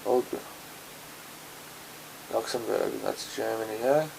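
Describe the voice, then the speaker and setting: A man's voice: lowish voice, steady tone, takes it slowly, gravelly, background noise. An Australian man vlogging in a casual, meandering way, often repeating and correcting himself. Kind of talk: casual rambling to camera.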